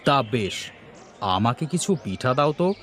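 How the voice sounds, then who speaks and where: A man's voice: mid-range voice, very animated, moderate pace, fairly bright tone. A man voicing a kindly, reassuring village uncle in a cartoon story, with genial, emphatic delivery. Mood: approving. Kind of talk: Bengali animated story dubbing.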